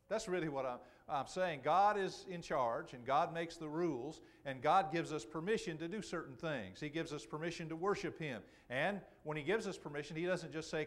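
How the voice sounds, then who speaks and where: A middle-aged man preaching an expository sermon in a church, slowing down to stress key phrases. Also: on the high side. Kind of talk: sermon, expository Bible teaching. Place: church auditorium, speaking from the pulpit to a congregation.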